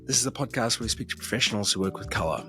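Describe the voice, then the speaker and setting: A man hosting a podcast interview with a hoarse, strained voice that is nearly gone, chatting in a friendly way with plenty of restarts. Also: lowish voice, animated, very fast, bright, forceful tone, soft background music. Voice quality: raspy. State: hoarse, losing his voice. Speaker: podcast host. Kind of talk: casual interview chat.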